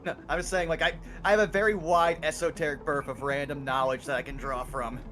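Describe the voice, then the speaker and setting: A man's voice: a little high, fairly even intonation, brisk, a bit bright, faint music behind. A man chatting casually as a co-streamer on a live game stream, stammering a little as he restarts.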